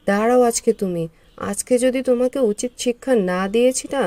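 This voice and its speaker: A woman playing a sharp-tongued village wife in a cartoon, with a slightly raised, mellow voice. She nags and scolds at every turn. Her impatience never lets up. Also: fairly high pitch, brisk, faint music behind, lightly acted. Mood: furious. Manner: scolding, nagging. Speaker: cartoon voice actor. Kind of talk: cartoon voice acting.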